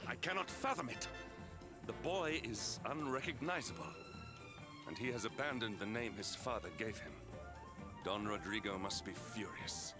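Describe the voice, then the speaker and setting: An actor voicing a stern, honourable knight in a video game, speaking in formal, solemn, stately diction. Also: male voice, medium pitch, expressive, moderate pace, close to an everyday voice. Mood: shocked. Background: music.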